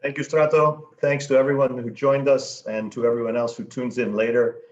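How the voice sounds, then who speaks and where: A man's voice: mid-range voice, little pitch movement, a little fast. A man moderating an online panel, introducing it formally over a dull-sounding video call.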